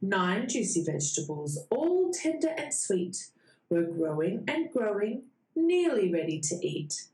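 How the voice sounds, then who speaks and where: A woman reading a bedtime storybook to young children in a sing-song way, with dramatic pauses before key words. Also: medium pitch, big pitch swings, darkish tone. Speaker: children's read-aloud host. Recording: roomy echo.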